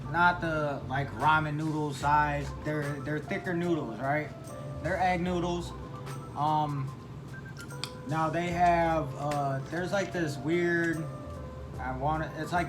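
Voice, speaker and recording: Rambling, slightly high-voiced man over music. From Michigan, United States. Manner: rambling, halting, many fillers. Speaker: vlog co-host. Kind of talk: casual mukbang chat. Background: background music.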